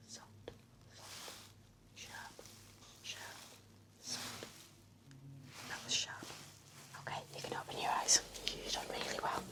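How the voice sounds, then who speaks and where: A woman whispering in a gentle, soothing, encouraging way as she role-plays an attentive nurse for ASMR. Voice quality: breathy whisper. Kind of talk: whispered ASMR medical exam roleplay.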